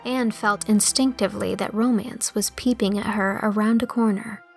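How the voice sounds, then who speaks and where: A woman's voice: medium pitch, fairly even intonation, normal speed, creaky. A woman narrates a storybook in a mid-range voice, with music under her reading. The delivery is scripted narration, carried in full literary sentences. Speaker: audiobook narrator.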